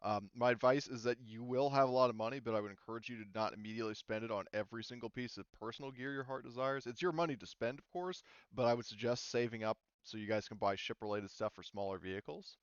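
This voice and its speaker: Clear-voiced man running a game session. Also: mid-range voice, quick pace, few pauses, no room sound, lo-fi sound. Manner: easygoing, laughs readily. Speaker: game master of a tabletop role-playing campaign. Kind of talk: casual group game discussion.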